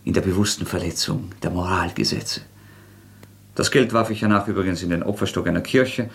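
An actor playing a proud young intellectual murderer in a radio drama, sarcastic, agitated and theatrical, defiant and brittle. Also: male voice, deep voice, fairly even intonation, a little fast, gravelly, a bit bright. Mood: feverish boasting. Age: young adult. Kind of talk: German radio drama acting.

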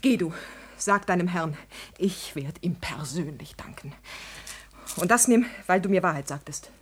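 A husky, fairly low-voiced noblewoman in a classical radio drama. She is proud, passionate and agitated, speaking theatrically with breathy, intense outbursts. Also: animated, measured pace. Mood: outraged. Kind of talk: classical German stage drama acted for radio.

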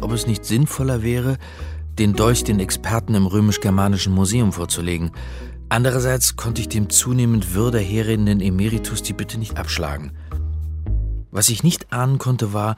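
Casting: male actor as polite, uneasy sleuth and narrator, fairly deep voice, radio drama over music. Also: moderate pace, close to an everyday voice. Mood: brooding, uneasy. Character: male investigator narrating his story, uneasy, hesitant, polite.

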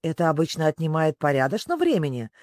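A storyteller voicing a bossy griffin, matter-of-fact and quick to butt in loudly. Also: mid-range voice, sing-song, no room sound, studio quality, lightly acted. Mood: brisk. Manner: matter-of-fact, interrupting, shouting. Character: brisk, bossy, boisterous griffin.

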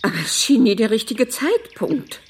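An actress playing a weary, headstrong older mother in a naturalistic radio drama, husky and speaking in reticent fragments. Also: a little high, sing-song, moderate pace. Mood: wistful.

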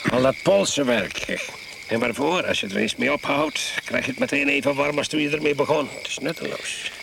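An actor in a radio play playing an overbearing, eccentric older husband who is pushily hospitable and faintly menacing. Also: male voice, fairly high pitch, big pitch swings, a little fast, gravelly, full character voice. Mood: capricious, insistent. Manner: domineering, capricious, interrupting. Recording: noisy radio play recording.

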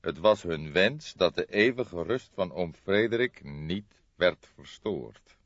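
A man reading an audiobook as a shrewd older police detective, speaking softly in a calm, measured, deliberate way. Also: sits low, no room sound, highs cut off, heavily acted. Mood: grave. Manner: measured, deliberate, soft-spoken.

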